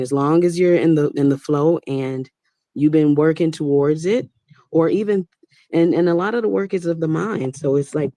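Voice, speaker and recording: A woman's voice: low-pitched, warm tone, no room sound. A woman working as a Reiki master and voice actor, talking casually with encouraging spiritual advice and often repeating words as she speaks. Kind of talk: casual conversation on a live stream.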